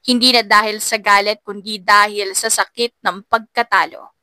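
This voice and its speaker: A woman's voice: mid-range voice, fairly even intonation, moderate pace, pushed and bright, no room sound. A woman giving dramatic scripted narration as an audiobook storyteller.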